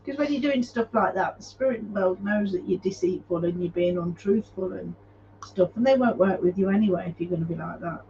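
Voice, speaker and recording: A man with a high voice chats informally as co-host of a live stream. The sound is a little muffled, and there is faint noise in the recording. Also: moderate pace, warm tone. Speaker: co-host of a paranormal live chat. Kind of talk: casual chat.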